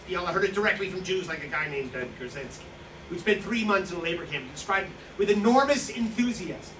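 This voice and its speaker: In a reverberant room with clear background noise, a man acts out an aggrieved, self-pitying wartime opportunist on stage. His voice sits a little high, and he justifies himself haltingly and indignantly. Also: recorded from a distance. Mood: indignant, defensive. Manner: indignant, wheedling, halting with nervous chuckles. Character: self-pitying, self-justifying Nazi-era profiteer who seized a bookstore. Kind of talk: staged reading of a stage drama. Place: live staged reading in a room. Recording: echoey, noisy hall recorded from afar.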